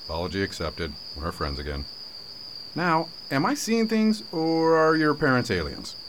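A man's voice: a little high, huge pitch swings, moderate pace, a bit bright. A man playing a wry, loyal, kindhearted sheriff in a radio drama, with a dry, teasing manner. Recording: noisy, with cricket-like background.

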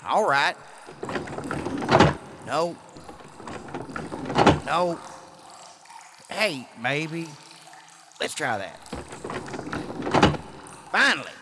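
An actor playing a harried, folksy outlaw underling in a western radio drama, casual and a little put-upon. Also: male voice, fairly high pitch, big pitch swings, drawn-out words, long pauses, heavy background noise, full character voice.

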